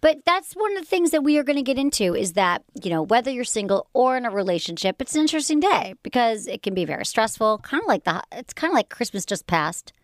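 A woman with a Michigan accent hosts a casual talk show. Her voice sits a little high, with a lively, swinging pitch. She talks fast and teases her guests, and the recording is dry and close. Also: no echo. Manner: bubbly, playful, teasing. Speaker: podcast host.

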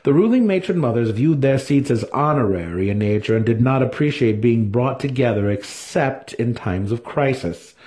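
A man's voice: mid-range voice, expressive, normal speed, few pauses, a little mellow. A man giving a dramatic reading of a fantasy story as its narrator. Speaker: audiobook narrator.

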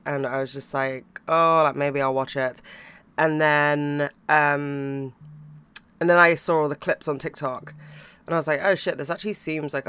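A young man hosting a podcast, chatting casually about books and TV, swearing freely and laughing now and then, his voice a bit croaky. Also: a little high, a bit bright. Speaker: podcast host.

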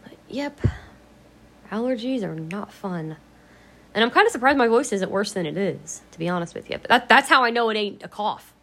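Woman vlogging, kinda raspy from allergies, blunt and swears a lot, rambles on. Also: medium pitch, lively intonation, normal speed, fairly bright tone. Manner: chatty, blunt, swears freely. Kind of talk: casual rambling vlog chat.

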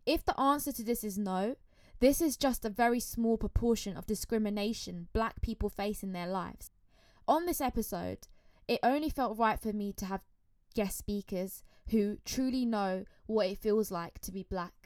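A woman's voice: medium pitch, moderate pace, darkish tone, dry recording, studio quality. A woman hosting a podcast, reading a scripted introduction in an earnest way full of rhetorical questions. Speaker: podcast host.

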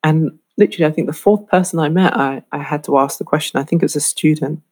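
British woman lecturer explaining clearly. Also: deep voice, quick pace, darkish tone. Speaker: fashion marketing lecturer. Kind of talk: instructional talk to camera.

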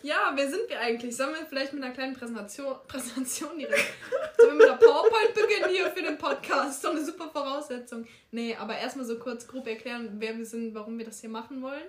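Giggly young woman, high voice. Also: fast, hardly any pauses. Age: 20s. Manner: giggly, keeps restarting sentences. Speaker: podcast co-host. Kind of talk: casual chat. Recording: echoey room, faint noise.

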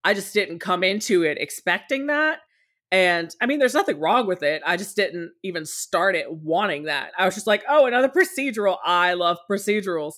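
Animated young woman host, slightly low voice. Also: moderate pace. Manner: animated storyteller, self-deprecating. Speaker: podcast host. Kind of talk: casual chat over a call.

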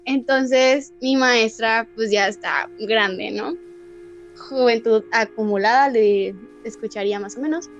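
A woman's voice: fairly high pitch, measured pace, dull audio. Chatty young woman with music behind. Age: young adult. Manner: chatty, laughs often. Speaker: podcast guest. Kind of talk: casual chat.

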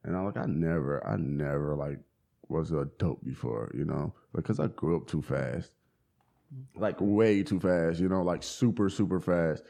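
A man in his twenties talking casually in an interview, relaxed and full of slang, fillers and restarts. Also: a bass voice, normal speed, creaky. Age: 20s. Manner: laid-back slang, many fillers and restarts. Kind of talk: casual conversation.

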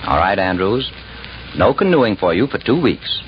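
An actor playing a stern, scolding camp leader with a commanding manner in an old radio comedy. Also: male voice, on the low side, very animated, normal speed. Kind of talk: 1940s radio comedy acting. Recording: noisy, muffled 1940s radio broadcast.

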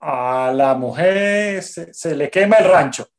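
Adult voicing an eager, slow-spoken young boy. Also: mid-range voice, lively intonation. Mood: effortful. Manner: halting, effortful when reading. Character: eager young boy, earnest pupil struggling to read. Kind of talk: radio drama acting.

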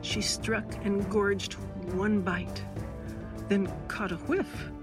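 A woman reading a short story aloud as dramatic, literary narration with deliberate emphasis. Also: medium pitch, fairly even intonation. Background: music under the voice.

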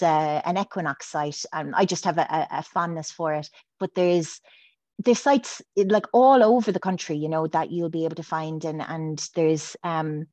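A woman's voice: on the low side, a little mellow, no room sound. A woman speaking as a guest, talking through myth in a hesitant way with many fillers and restarts. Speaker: guest, priestess. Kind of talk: casual podcast chat.

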